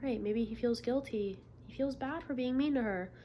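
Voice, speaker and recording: A woman reading a picture book aloud to children like a teacher, expressively, pausing to put encouraging questions to her listeners. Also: on the high side, warm tone, a little hiss or hum. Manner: encouraging, checks understanding with frequent questions. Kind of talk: interactive storybook read-aloud with questions to the listeners.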